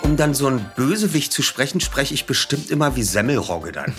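A man's voice: medium pitch, very animated, fairly quick, loud music behind the voice. A man, a voice actor, telling anecdotes playfully in a casual interview style.

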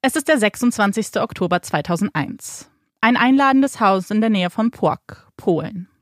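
A woman's voice: mid-range voice, animated, no echo, clean studio sound. Woman telling a true crime case. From Germany, raised bilingual by Polish parents. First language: Polish. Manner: slow, suspenseful narration with rhetorical questions. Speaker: podcast co-host telling the case. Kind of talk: dramatic true crime storytelling. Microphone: studio microphone.

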